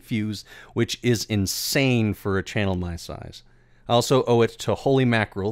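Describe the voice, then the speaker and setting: A man with a fairly low voice narrates a scripted story in a theatrical, wordy way. The recording is close and very dry. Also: dry recording. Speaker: video creator and voice actor.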